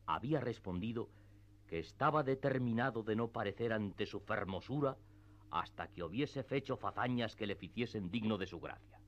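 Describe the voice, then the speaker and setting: A male narrator with a fairly low voice reads classic literary prose for a radio drama. The delivery is scripted storytelling, and the sound is slightly dull and muffled. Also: a bit bright.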